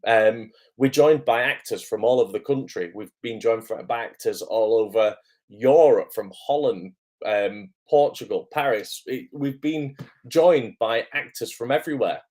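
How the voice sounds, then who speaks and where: A man whose voice is hoarse and a bit croaky from being ill talks casually to his livestream viewers as an acting coach. He keeps a chatty flow, dotted with "um" and greetings by name. His voice sits at an ordinary middle pitch. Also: unhurried, darkish tone. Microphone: camera microphone.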